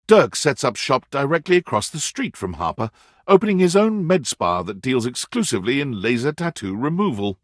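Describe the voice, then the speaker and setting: A man performing as the storyteller narrator of a dating game, reading scripted second-person narration in an expressive, measured way. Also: mid-range voice, no echo, clean studio sound.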